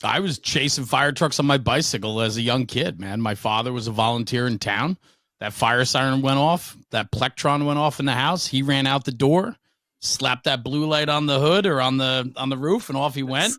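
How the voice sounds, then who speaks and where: A man's voice: mid-range voice, normal speed, a bit bright, dry recording, studio quality. A middle-aged man telling stories casually as an interview guest, frank, emphatic and opinionated. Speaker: podcast guest, veteran firefighter and speaker.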